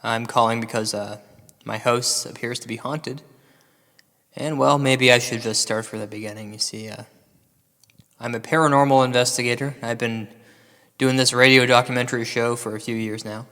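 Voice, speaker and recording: A man's voice: mid-range voice, fairly even intonation, moderate pace, pauses often, fairly bright tone, close to an everyday voice. Man playing an anxious, rambling paranormal investigator in reverb. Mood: anxious, earnest. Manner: hesitant, earnest, rambling. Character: anxious, self-doubting male paranormal investigator, earnest and eccentric. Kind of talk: comedy radio drama acting.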